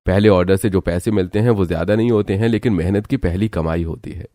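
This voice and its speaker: A man's voice: low voice, fast, mellow. A man narrating a scripted cartoon family story.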